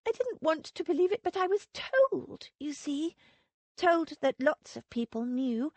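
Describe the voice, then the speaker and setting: Anxious young wife in a storybook, read by a woman; high, tearful, dry close sound. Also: very animated, a little slow, dry recording, heavily acted. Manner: tearful, pleading, rising pitch.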